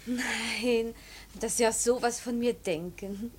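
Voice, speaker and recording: A woman's voice: a little high, steady tone, slow, a little hiss or hum. An actress in a classic radio drama playing a coy, chatty, easily affronted young woman with a fussy, affectedly polite manner. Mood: coy, flustered.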